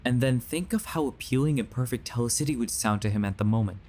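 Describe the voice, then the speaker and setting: A man's voice: mid-range voice, fast talker, darkish tone, slight background noise, natural voice. A man playing a grave, earnest believer warning others of a sinister conspiracy in an audio drama. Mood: grave, urgent. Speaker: voice actor.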